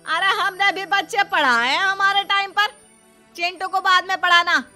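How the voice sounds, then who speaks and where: Woman acting a shrill, nagging older mother-in-law. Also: moderate pace, pushed and bright, soft background music, heavily acted. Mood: scolding. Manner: scolding, taunting, dramatic exclamations.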